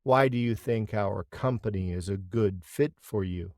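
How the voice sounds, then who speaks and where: A man reads an interviewer's questions in a scripted practice dialogue. His voice is fairly low and his questions are plain and steady. The sound is dry and close. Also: a little slow, no room sound.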